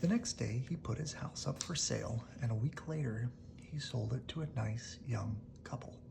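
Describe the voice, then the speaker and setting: A storyteller reading a picture book aloud in a hushed bedtime style, often dropping to a whisper. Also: medium pitch, normal speed, creaky, noisy background.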